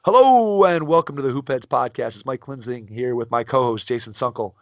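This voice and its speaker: A man hosting a basketball coaching podcast, reading a guest's biography in a measured announcer style and then asking interview questions. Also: mid-range voice, no echo, dull audio. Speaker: podcast host.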